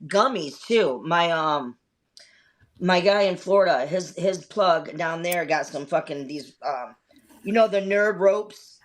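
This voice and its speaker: A woman's voice: fairly low pitch, takes it slowly. A woman in her fifties hosting a casual chat, telling stories candidly, swearing often and rambling with many fillers. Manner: candid storytelling with frequent swearing. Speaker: host.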